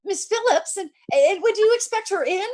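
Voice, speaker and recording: A frantic invalid woman, alone and terrified in a radio thriller. Her very high voice stammers and repeats words in panic, in the manner of old-time radio suspense. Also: lively intonation, a little fast, a little mellow. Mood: agitated, distressed.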